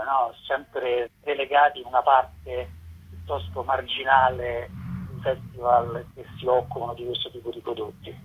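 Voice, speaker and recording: A man explaining things as an interviewed festival organiser, in halting pieced-together phrases, over a telephone call. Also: mid-range voice, slightly rough. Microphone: phone call. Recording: tinny, distorted telephone line.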